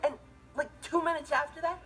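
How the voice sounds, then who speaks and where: A man's voice: very high-pitched, fairly even intonation, fairly quick, fairly bright tone. A young male actor playing an earnest, responsible, easily exasperated teenage stepbrother, telling stories with emphatic comic timing in a TV sitcom. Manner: emphatic, theatrical comic delivery. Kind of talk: scripted comic monologue in a TV sitcom. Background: music and laughter.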